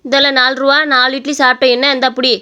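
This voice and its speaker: A woman's voice: sits high, little pitch movement, fast, pushed and bright, smooth. A woman voicing an indignant old village granny, rustic and scolding, in a story.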